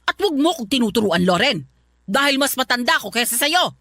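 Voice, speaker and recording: A woman's voice: high-pitched, very animated, a little fast, fairly bright tone, dry recording, studio quality, heavily acted. Stern, scolding Filipino mother, swooping delivery. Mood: angry scolding. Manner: scolding, domineering. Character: stern, bitter middle-aged mother who distrusts men. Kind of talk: Tagalog radio drama acting.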